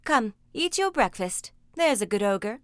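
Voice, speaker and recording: A woman voicing a big, bossy ogre's wife who speaks in a loud, brisk, scolding way in a storybook reading. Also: medium pitch, big pitch swings, smooth, no room sound, studio quality.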